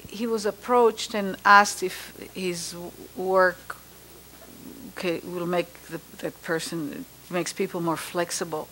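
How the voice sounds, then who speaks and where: A woman's voice: fairly low pitch, steady tone, slow, bright, forceful tone, a little hiss or hum, low-quality recording. A woman in the audience of a meeting room telling an anecdote in a conversational way, with hesitations. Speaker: audience member.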